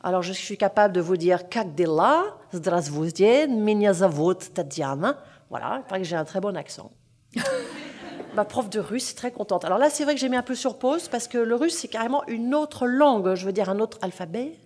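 A woman novelist talking in an interview, lively and self-deprecating, with frequent hesitations. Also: medium pitch, normal speed. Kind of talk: interview conversation.

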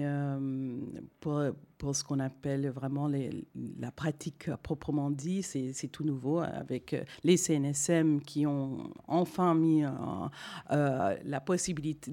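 Middle-aged woman with English as her first language, low mellow voice, halting unscripted delivery. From Canada. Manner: hesitant, many fillers and restarts. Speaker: guest singer and voice teacher. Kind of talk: spontaneous talk to an audience.

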